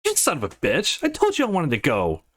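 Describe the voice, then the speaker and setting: A man voicing a brash, teasing, foul-mouthed teenage girl in a visual novel, blunt and excitable. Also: on the high side, brisk, voice pushed up, exaggerated voice. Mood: outraged. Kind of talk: visual novel character reading.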